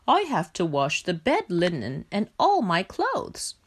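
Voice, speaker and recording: A woman voicing a busy, homely pig in a storybook, matter-of-fact and a little low. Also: wildly swooping pitch, unhurried, husky, heavily acted.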